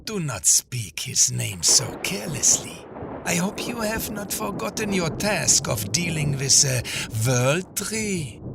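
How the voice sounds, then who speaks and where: A man voicing a haughty, menacing older villain in a fantasy game fan dub, gravelly and theatrically pompous. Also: a little high, sing-song, takes it slowly, noisy background, heavily acted. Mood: stern, haughty.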